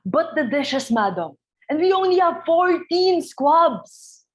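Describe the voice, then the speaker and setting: A woman's voice: high voice, sing-song, takes it slowly, heavily acted. A woman playing a formal, deferential household servant in a dramatic reading of a stage comedy. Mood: alarmed.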